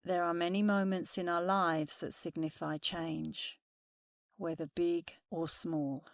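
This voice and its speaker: A woman meditation instructor speaking softly and soothingly through a guided meditation. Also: lowish voice, level tone, no room sound, clean studio sound. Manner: slow, soothing, soft-spoken guidance.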